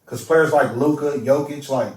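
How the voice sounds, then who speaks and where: A man's voice: mid-range voice, steady tone, moderate pace, darkish tone. A man co-hosting a casual podcast, blunt and emphatic, cursing freely in loose banter with friends. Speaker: podcast co-host. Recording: roomy, distant mic.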